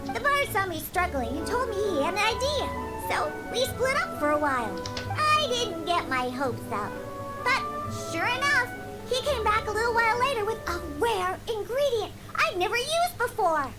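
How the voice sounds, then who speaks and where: A woman's voice: very high-pitched, big pitch swings, moderate pace. A woman voicing a proud, feisty young catgirl bartender in a video game, with a girlish, sassy, theatrical delivery. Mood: storytelling. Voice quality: girlish, childlike. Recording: game music behind the voice.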